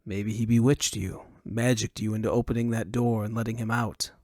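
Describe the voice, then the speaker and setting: A man's voice: on the low side, level tone, normal speed, darkish tone, dry recording, lightly acted. A man voicing a blunt, pragmatic hired adventurer in an audiobook, with a dry, terse, impatient manner. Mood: low, persuasive. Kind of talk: audiobook character acting.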